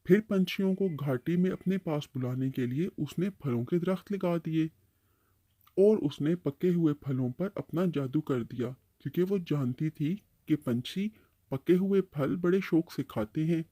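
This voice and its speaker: A man's voice: a little high, measured pace, warm tone, no room sound. A man playing a kindly uncle tree in a bird cartoon, patiently explaining and advising. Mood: explaining, cautioning. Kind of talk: Hindi-Urdu cartoon storytelling dialogue.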